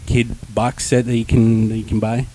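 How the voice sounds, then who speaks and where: A man's voice: mid-range voice, flat delivery, moderate pace, mellow. A man hosting a casual comics-review chat, joking and slangy, with swearing. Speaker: podcast host reviewing comics.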